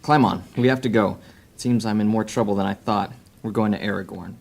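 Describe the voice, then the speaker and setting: Cocky fantasy rogue played by a man: low, gravelly voice, sardonic muttering, sly self-amused thief. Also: steady tone, brisk, darkish tone. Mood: brisk. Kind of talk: fantasy audio drama acting.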